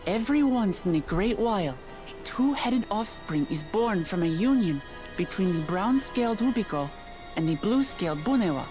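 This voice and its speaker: A woman's voice: medium pitch, expressive, normal speed, lightly acted. A woman voicing a proud, plain-spoken young princess in a video game, earnest and blunt. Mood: explanatory. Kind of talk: scripted video game voice acting. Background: music.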